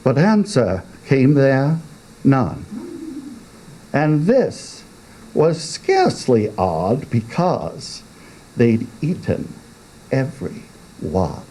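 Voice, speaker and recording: A man giving a dramatic recitation of comic verse in a theatrical, deliberate manner. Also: mid-range voice, very animated, mellow, slight background noise, poor audio. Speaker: poetry reader.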